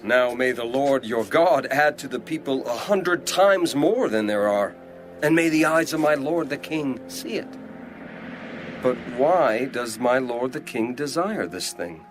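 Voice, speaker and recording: A man acting as a loyal military commander in an audio Bible drama. He speaks to his king with earnest deference in a medium-pitched voice, with faint ambience behind him. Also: lively intonation, a little slow, a little hiss or hum, lightly acted. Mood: earnest, questioning. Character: respectful army commander questioning his king.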